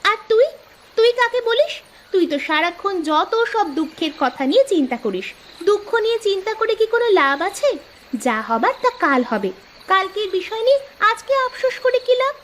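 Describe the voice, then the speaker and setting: A woman's voice: very high voice, very animated, a little fast, a little hiss or hum. A woman voicing a carefree, bossy, food-loving sister with a breezy, dismissive manner in a scripted cartoon story. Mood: scolding.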